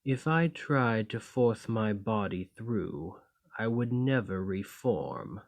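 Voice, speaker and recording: A man playing an imprisoned clay golem in an ASMR roleplay, polite and learned, speaking in formal, deliberate phrases. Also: lowish voice, lively intonation, gravelly, full character voice. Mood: cold, menacing. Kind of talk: scripted ASMR roleplay acting.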